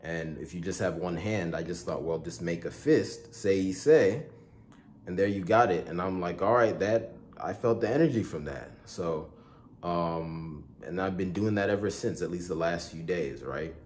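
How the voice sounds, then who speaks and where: A young man from Igboland with a low, deep voice talks viewers through a simple practice in a casual tutorial. His delivery is relaxed and meandering, full of fillers and small self-corrections. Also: normal speed, slight background noise. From Igboland, Nigeria. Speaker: spiritual tutorial host.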